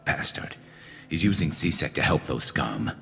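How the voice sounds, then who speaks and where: Super deep gravelly alien cop for a video game, menacing n terse, reverby. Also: male voice, expressive, measured pace, bright, forceful tone, a little hiss or hum, heavily acted. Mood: cold, grim. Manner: terse, threatening.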